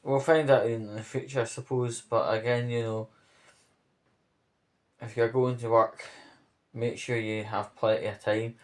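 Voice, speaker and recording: A man giving off-the-cuff spoken commentary on local news, rambling, pausing mid-phrase and checking in with the listener. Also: mid-range voice, little pitch movement.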